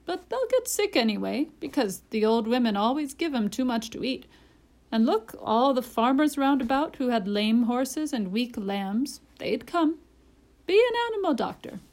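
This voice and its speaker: Storybook tradesman, read by a woman: folksy, rough grammar, eager salesman, lively swings. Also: a little high, moderate pace. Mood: coaxing. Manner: colloquial, ungrammatical, persuasive. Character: folksy, uneducated working man, eager and scheming.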